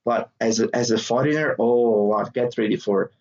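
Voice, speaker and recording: A man from southern Italy with an Italian accent, a comedian answering interview questions in an animated way, laughing often and restarting his words. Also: mid-range voice, moderate pace. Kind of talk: conversational interview. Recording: dull lo-fi audio.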